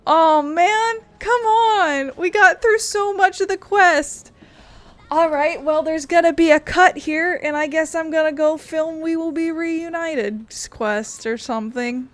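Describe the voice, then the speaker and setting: High-voiced, slow-talking woman gamer. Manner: sweary, informal. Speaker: game streamer. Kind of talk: casual gameplay commentary. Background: faint game music.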